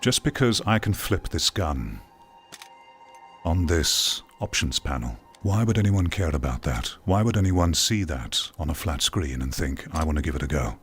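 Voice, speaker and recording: A man with a deep, low-pitched voice talks over faint background music. He delivers opinionated commentary like a video essayist. His manner is emphatic and probing, built around pointed rhetorical questions. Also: animated, a little slow, fairly bright tone. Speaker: video game commentator and host.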